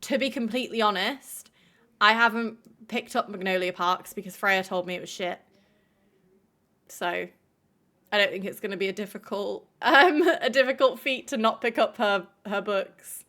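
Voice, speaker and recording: Woman streamer just yapping to chat, bright crisp voice, lots of likes and f-words. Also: medium pitch, normal speed. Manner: chatty, lots of fillers, swears freely. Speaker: livestream host. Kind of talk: casual chat with viewers.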